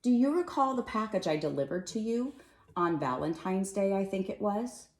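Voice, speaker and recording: A woman's voice: mid-range voice, animated, moderate pace, lightly acted. Storybook postman, played by a woman: male, serious and regretful, owning up to a mistake, roomy sound. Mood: uneasy, sad.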